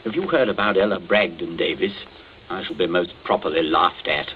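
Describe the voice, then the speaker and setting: An actor playing a hearty English captain in a radio suspense drama, speaking in blustery, clipped upper-class phrases. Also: male voice, low-pitched, brisk, lightly acted. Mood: bluff, rueful. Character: hearty, bluff English captain, a jilted neighbour. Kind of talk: 1940s radio suspense acting. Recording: dull, hissy old radio broadcast.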